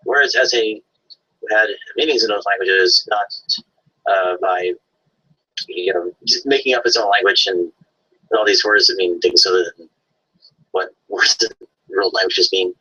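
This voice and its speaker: A man's voice: lowish voice, sharp tone, breathy edge. A man debating in an online hangout, lecturing with many fillers. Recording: dull lo-fi stream audio.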